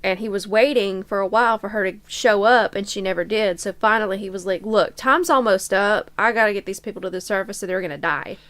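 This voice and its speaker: A woman's voice: medium pitch, fairly quick, hardly any pauses, a bit bright. A woman co-hosting a casual podcast chat about films and books, matter-of-fact as she counters her co-host.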